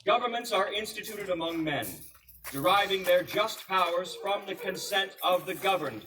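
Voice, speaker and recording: A man reenacting a resolute eighteenth-century American statesman delivers formal oratory in a high-pitched, ringing voice. His delivery is solemn and declamatory, projected out to be heard. The microphone sits some way off from him. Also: moderate pace, bright, forceful tone, recorded from a distance, low-quality recording. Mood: fervent, proclaiming. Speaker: historical reenactor. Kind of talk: formal public oratory, reading a proclamation aloud.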